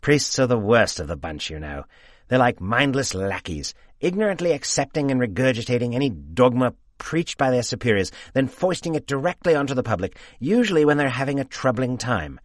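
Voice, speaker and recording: A man's voice: mid-range voice, big pitch swings, a little fast, dry recording, clean studio sound, pitched above the performer's own voice, close to an everyday voice. A man voicing a brusque young man in his late twenties who speaks curtly and scornfully. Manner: curt and clipped, turning scornful and lecturing.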